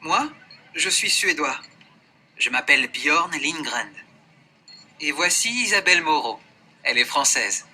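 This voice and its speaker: A man voicing an adult man introducing himself and a colleague in a scripted textbook dialogue, friendly and clear. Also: fairly high pitch, expressive, a little slow, long pauses, bright, forceful tone, heavily acted.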